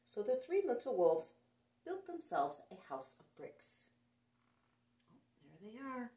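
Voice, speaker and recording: A woman's voice: fairly high pitch, sing-song, slow, dark tone. A woman reading a picture book aloud to children in a playful, sing-song way, recorded indoors. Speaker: children's story reader. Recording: echoey room, mic some distance away.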